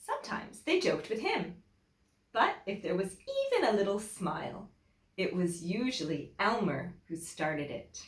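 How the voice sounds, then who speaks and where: Woman, teacher, children's storytime read-aloud, slow delivery, lively swooping pitch, emphatic phrasing, roomy echo. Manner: deliberate, with emphatic phrasing for young listeners. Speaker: teacher reading a picture book aloud. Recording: echoey room.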